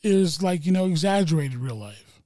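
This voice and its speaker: Brief: male stand-up comic, mellow tone, higher voice, rambling casual podcast talk. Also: lively intonation, normal speed, no echo, clean studio sound. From Wyoming. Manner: loose, rambling, swears a lot. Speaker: stand-up comedian, podcast guest. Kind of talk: casual chat.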